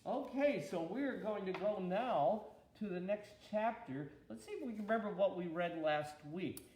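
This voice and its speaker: An older man reading a children's storybook aloud in an expressive, grandfatherly way, with deliberate pauses and chatty asides. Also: sits high, a little hiss or hum. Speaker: bishop reading Bible stories to his granddaughter. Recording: echoey room.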